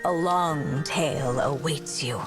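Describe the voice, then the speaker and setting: A gentle, motherly witch at the end of her life speaks slowly and tenderly. Her voice sits a little low, in a game scene with loud music underneath. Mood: tender, solemn. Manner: slow, tender, soft-spoken. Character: gentle dying witch storyteller, wistful and motherly. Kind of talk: dramatic game voice acting.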